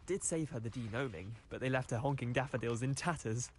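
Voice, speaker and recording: An actor playing a cheeky, eager teenage wizard schoolboy with a British accent and chatty, cocky manner in a video game. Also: male voice, medium pitch, a little fast, a little hiss or hum, lightly acted. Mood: cheeky, keen. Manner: chatty, boyish, colloquial, cocky. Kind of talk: video game character acting.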